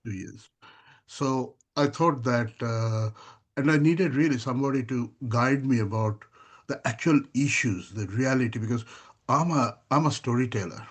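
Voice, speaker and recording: A man, a filmmaker, answering interview questions in a rambling, conversational way with frequent pauses. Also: mid-range voice. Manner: rambling, many fillers and restarts.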